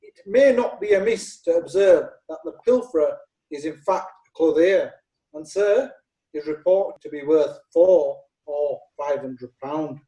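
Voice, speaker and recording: A pompous prosecutor, played by a man, laying out his case in a radio play with grand courtroom oratory. His voice sits high but mellow, and it sounds roomy and a little off-mic. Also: lively intonation, unhurried, recorded from a distance. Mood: accusing. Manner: formal, theatrical courtroom oratory. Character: pompous male prosecuting lawyer. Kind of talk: radio drama acting.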